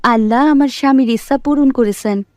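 A woman's voice: high voice, brisk, darkish tone, no room sound, clean studio sound, close to an everyday voice. A woman playing a poor, humble and earnest village mother in a cartoon. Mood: grateful. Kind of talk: cartoon voice acting.

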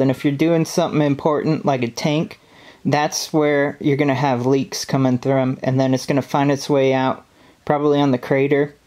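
A man in his thirties calmly narrating welding footage, explaining step by step what he is doing. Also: medium pitch. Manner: calm, step-by-step technical explanation. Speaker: welding instructor. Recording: voice-over with loud welding arc hum behind.

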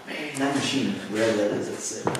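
A young man chatting casually and excitedly while exploring an empty wooden schoolhouse, picked up by a camera mic at a distance. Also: lowish voice, fairly quick, very noisy. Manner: excited, easygoing. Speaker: urban explorer. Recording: echoey and distant with loud music.

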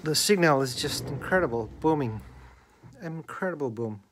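Higher-pitched man giving a demonstration. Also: animated, moderate pace, a bit bright, slight background noise. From Australia. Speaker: hobbyist radio enthusiast demonstrating a homemade antenna. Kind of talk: casual video demonstration. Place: indoors in a bedroom. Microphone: handheld camera, held by the speaker.

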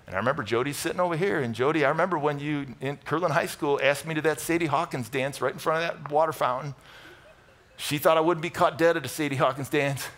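A middle-aged American man preaching a conversational sermon, emphatic and animated, moving between loud bursts and hushed asides. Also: fairly high pitch, fast talker. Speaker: pastor preaching. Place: large church auditorium stage with audience.

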